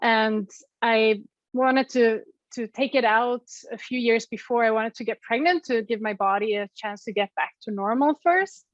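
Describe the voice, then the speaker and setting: A woman's voice: a little high, moderate pace, no echo, lo-fi sound. A woman with a Swedish accent, a guest on an interview over a call, speaking thoughtfully with many ums and likes. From Sweden. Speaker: guest, app co-founder.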